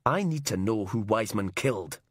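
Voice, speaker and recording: A man's voice: lowish voice, lively intonation, fairly quick, slightly rough, no room sound, clean studio sound. A man reading a tense, stubborn male detective sergeant who presses hard. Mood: pressing. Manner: urgent, pressing.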